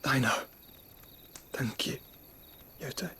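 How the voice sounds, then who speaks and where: A man's voice: mid-range voice, drawn-out words, bright, forceful tone, husky, heavily acted. A man playing a weary, ailing, philosophical duke in a game cutscene, speaking in a formal, eloquent way. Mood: resigned. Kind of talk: dramatic video game cutscene acting.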